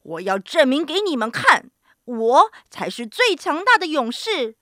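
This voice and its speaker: Female reader as a male giant, storybook villain, menacing, bellowing, emphatic, forceful, animated pitch. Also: a little slow, vocal fry, dry recording, studio quality, heavily acted. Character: huge, nasty, bullying giant man, roaring threats.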